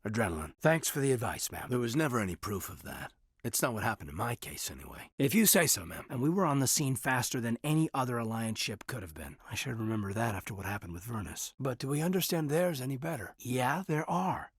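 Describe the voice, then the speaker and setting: A soft-spoken marine officer in a sci-fi game, kinda husky, earnest, lots of movement in the pitch, very dry sound. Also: male voice, very animated, dry recording. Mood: somber, confiding, then earnest, defensive. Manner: hesitant, earnest, halting when personal. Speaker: video game character voice actor. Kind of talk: dramatic video game dialogue.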